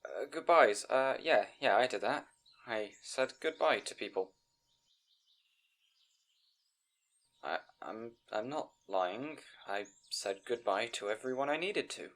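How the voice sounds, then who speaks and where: A man's voice: medium pitch, animated, measured pace, fairly bright tone. A man playing a timid, bookish young scribe in a fantasy audio roleplay, hesitant and apologetic, rambling and stammering. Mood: defensive, downcast. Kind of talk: audio roleplay acting addressed to the listener.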